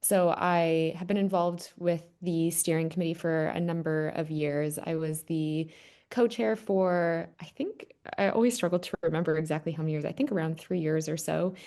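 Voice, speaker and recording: Low, gravelly young Canadian woman. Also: moderate pace, warm tone. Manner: chatty, with many "kind of" fillers. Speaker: guest panelist. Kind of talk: casual webinar talk. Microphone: video call.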